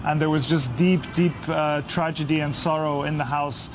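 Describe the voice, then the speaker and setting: A man's voice: fairly high pitch, fairly even intonation. Somber man reporter, noisy street. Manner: somber, halting with fillers.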